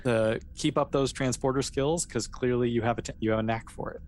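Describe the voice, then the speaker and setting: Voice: medium pitch, fairly even intonation, brisk, a little mellow. A game master narrating an improvised roleplaying scenario in a casual, conversational way.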